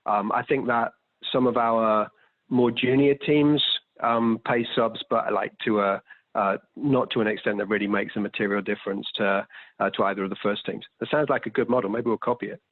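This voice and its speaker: A man's voice: on the low side, fairly even intonation, normal speed, dry recording. A man answering audience questions conversationally as a panelist, choosing his words with hesitant, thoughtful phrasing. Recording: thin, phone-like video call audio.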